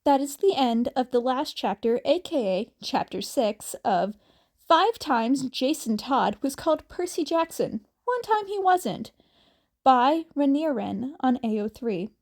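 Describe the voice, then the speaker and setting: Slow-paced woman reading a story. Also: sits high, animated, a little mellow. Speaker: amateur podfic reader. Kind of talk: fan fiction narration with acted dialogue.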